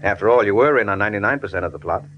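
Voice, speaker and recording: An actor playing a cool, sardonic hard-boiled American detective in 1940s radio style. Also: male voice, on the low side, racing, highs cut off, close to an everyday voice. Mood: wry. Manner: sardonic, laconic, teasing. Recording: noisy old radio drama recording.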